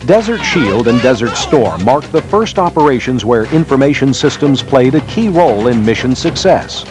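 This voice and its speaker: A man with a mid-range voice delivers formal narration for a 1990s military training film. His phrasing is measured and emphatic, in a scripted documentary style. Music plays behind his voice. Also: medium pitch, sing-song. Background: background music.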